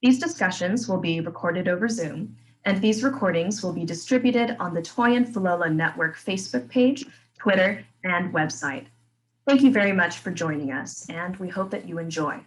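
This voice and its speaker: A woman's voice: on the low side, moderate pace, low-quality recording. Woman reading a scripted introduction. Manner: reads list items one by one. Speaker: series organizer.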